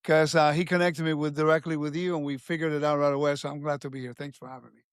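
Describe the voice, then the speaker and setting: A man's voice: fairly high pitch, flat delivery, fast talker, smooth, no echo, studio quality. A man in his fifties from Puerto Rico, a podcast guest earnestly telling his life story with many restarts and fillers.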